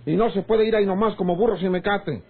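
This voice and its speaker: An actor playing a domineering, hot-tempered peasant husband with a rural northern Mexican accent and bossy folksy speech in an old radio drama. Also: male voice, high-pitched, fast, breathy edge. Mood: bossy, irritated. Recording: tinny, band-limited old radio serial.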